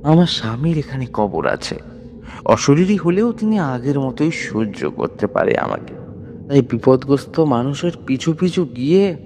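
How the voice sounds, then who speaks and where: A man voicing a kindly, sorrowful elderly village widow who speaks of hauntings in a hushed, grave way. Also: mid-range voice. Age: older. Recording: long reverb, noisy background.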